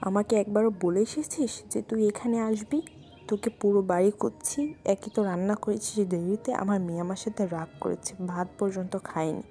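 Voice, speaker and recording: A woman's voice: mid-range voice, fairly quick, dark tone, slight background noise, close to an everyday voice. A woman voicing a bossy, nagging village stepmother in a cartoon moral story. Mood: angry scolding. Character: harsh, scolding village stepmother, doting on her own daughter.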